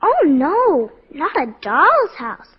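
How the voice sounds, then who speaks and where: High-voiced, prim little girl. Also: wildly swooping pitch, slow pace, exaggerated voice. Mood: earnest, wistful. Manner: prim, grown-up phrasing. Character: earnest, precocious, matter-of-fact little schoolgirl. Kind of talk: 1940s radio drama acting. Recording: muffled old radio recording, long reverb.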